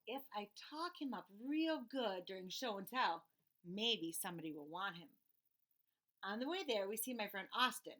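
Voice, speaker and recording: A woman narrating a children's story aloud in an expressive, emphatic way with dramatic pauses. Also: mid-range voice.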